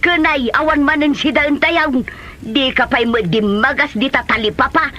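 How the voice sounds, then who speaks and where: A man's voice: very high voice, moderate pace, sharp tone, highs cut off. A man playing a nagging, disappointed mother in a comedy drama, with an Ilocano accent and a scolding, complaining delivery. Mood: scolding, exasperated.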